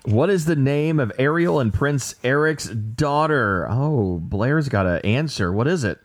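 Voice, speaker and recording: A man's voice: medium pitch, expressive, unhurried. A man co-hosting a casual podcast, running a trivia game with dry, self-deprecating jokes. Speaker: podcast co-host, quizmaster. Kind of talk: joking game-show hosting.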